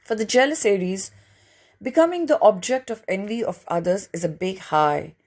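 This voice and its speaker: Woman, audiobook narrator, scripted reading, medium pitch, noticeable vocal fry. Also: expressive, normal speed, darkish tone. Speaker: narrator, reading a book chapter.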